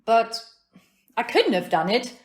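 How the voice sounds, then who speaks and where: In a roomy, echoing recording, a feisty single lady, played by a woman speaks up in a slightly high voice. She is defiant and hard to rattle, brusque and plain-spoken in audio-drama style. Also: big pitch swings, unhurried. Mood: defensive, indignant. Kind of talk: audio play acting.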